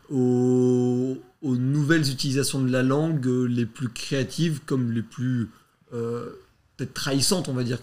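A man hosting a debate show, asking winding questions and wrapping up with an upbeat sign-off. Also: medium pitch. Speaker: show host.

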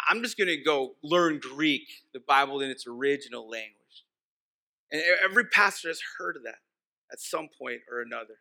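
A man's voice: medium pitch, bright, forceful tone. A man from Texas whose first language is Spanish, preaching an informal, conversational sermon and repeating key phrases for emphasis. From Texas, United States. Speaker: pastor preaching.

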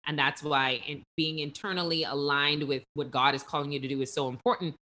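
A woman hosts the interview, affirming her guest and asking lively questions. Her voice sits a little low but sounds bright and forceful. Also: a little fast. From the Bahamas. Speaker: host. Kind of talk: faith interview chat.

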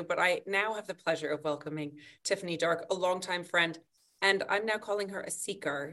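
A woman hosts a podcast, her voice a little raspy and set fairly low. She talks in a calm, measured way as she welcomes her guest and asks about her journey. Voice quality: slightly raspy. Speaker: podcast host. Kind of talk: scripted intro then interview.

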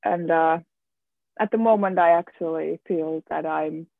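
A man's voice: fairly high pitch, unhurried, vocal fry, no echo. A young man talking about himself in a casual round-table chat, hesitant, restarting and repeating words often. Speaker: student guest. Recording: tinny phone-like line, dry.

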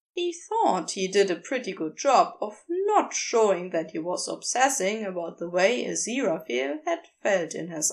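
A woman reading scripted fiction as a narrator, in an expressive storytelling style. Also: a little high. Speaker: podfic narrator.

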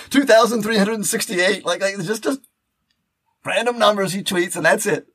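A man's voice: high-pitched, fairly bright tone, husky. A man co-hosting a podcast in loose, unscripted chat, rambling and often restarting his phrases as he goes. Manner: rambling, halting, repeats words and restarts. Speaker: podcast co-host.

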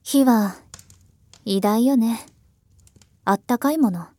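A woman's voice: a little high, mellow, dry recording. A woman playing a world-weary, ironic elder sister in a voice drama, musing wistfully. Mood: weary, languid. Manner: slow, wistful, rhetorical musing. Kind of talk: Japanese voice drama acting.

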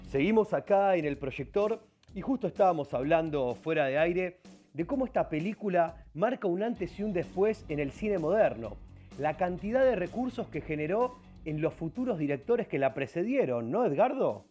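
A man's voice: high-pitched, expressive, moderate pace, dark tone, soft background music. An actor with an Argentine Rioplatense accent playing a pompous, easily exasperated film expert who hosts a comedic radio show, switching between formal and slangy. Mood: formal, polished. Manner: formal presenting that slips into slangy grumbling.

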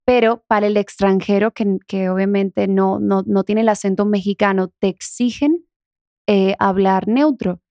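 A young adult woman from the Dominican Republic with a softened Dominican accent, talking casually as an interview guest. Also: medium pitch, steady tone, unhurried, no echo, studio quality. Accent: Dominican, softened toward neutral Spanish.

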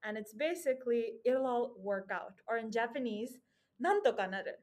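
A woman's voice: fairly high pitch, lively intonation, moderate pace, darkish tone. A young woman from Nepal hosting a student podcast in a casual, upbeat and friendly way. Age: young adult. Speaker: podcast host.

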